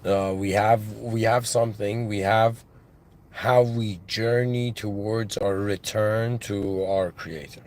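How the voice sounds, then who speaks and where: A man with an accent in English, giving a reflective spiritual monologue as a channel host, halting and musing, with restarts and devout phrases. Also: lowish voice, steady tone, darkish tone. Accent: non-native English.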